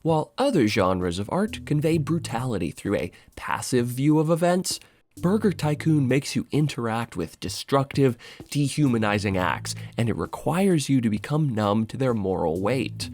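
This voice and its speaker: A man's voice: medium pitch, big pitch swings, normal speed, a little mellow. A man narrating a scripted video essay in an emphatic, dramatic way. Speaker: video essayist and channel host. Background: music.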